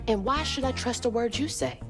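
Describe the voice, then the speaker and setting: A woman's voice: on the high side, fairly quick, natural voice. A woman playing a determined young hero and loyal daughter in a video game, with a Southern US accent. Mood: defiant. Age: young adult. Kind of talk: video game cutscene acting. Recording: loud music behind the voice.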